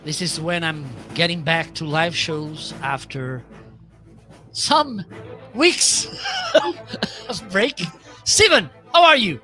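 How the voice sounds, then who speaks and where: Animated laughing Portuguese-accented man. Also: on the high side, slow, long pauses, soft background music. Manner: laughs often, playful and animated. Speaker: live stream host. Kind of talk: live stream chat.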